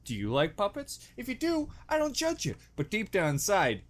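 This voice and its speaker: A man's voice: high voice, wildly swooping pitch, normal speed. A man hosting a reaction video with casual, joking, exaggerated and profane commentary.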